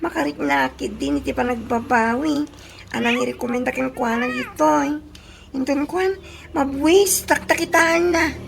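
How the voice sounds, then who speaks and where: A woman's voice: high-pitched, moderate pace, creaky. An actress playing an outspoken, protective young woman in a radio comedy drama, emphatic and scolding, on a noisy track. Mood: complaining. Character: outspoken young female friend, protective and indignant.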